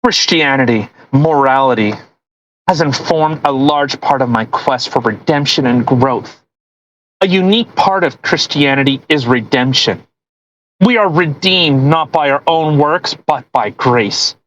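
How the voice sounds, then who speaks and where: A podcast co-host reading a post aloud with casual, mocking commentary, sarcastic and quick to swear and interject. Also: mid-range voice, a bit bright, a little hiss or hum, rough recording.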